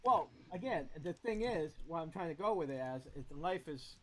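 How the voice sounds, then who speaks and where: Man, slightly high voice, casual video-call chat, reflective, rambling. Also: animated, moderate pace, a bit bright, slight background noise. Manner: reflective, rambling with many restarts. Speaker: guitarist on a group video call.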